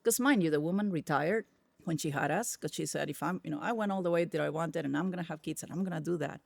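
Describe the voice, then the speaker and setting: Spanish-accented woman guest, gets loud and animated, bit of gravel in the voice, dry close sound. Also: sits low, quick pace, no room sound. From Peru. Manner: animated, emphatic storyteller. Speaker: podcast guest, tech executive. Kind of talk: casual interview conversation.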